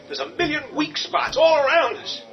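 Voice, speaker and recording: An actor playing a cowardly, whining middle-aged husband in a radio drama, sarcastic and panicky. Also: male voice, very high voice, moderate pace, vocal fry. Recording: dull lo-fi audio with music.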